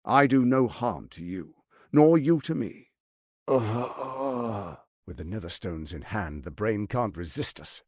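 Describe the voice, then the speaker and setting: An actor playing a scheming, arrogant middle-aged tyrant lord in a video game, with a pompous, silky, menacing delivery. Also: male voice, lowish voice, expressive, slow pace, darkish tone, dry recording, clean studio sound. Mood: persuasive, grandiose. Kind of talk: theatrical video game voice acting.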